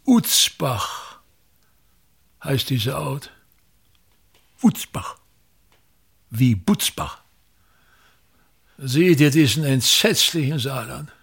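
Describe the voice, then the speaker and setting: Radio drama: self-important older patriarch of the stage, creaky voice, very animated pitch, pompous delivery. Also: male voice, very slow, pauses often, heavily acted. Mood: scornful, aggrieved. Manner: pompous, declamatory, emphatic repetitions. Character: pompous, tyrannical older actor-patriarch, grandiose and aggrieved. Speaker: actor. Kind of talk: theatrical radio drama monologue.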